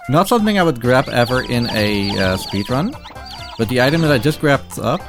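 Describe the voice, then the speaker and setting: A man giving casual live commentary as he speedruns a game, halting and repeating phrases as he goes. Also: medium pitch, very animated. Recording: game music behind the voice.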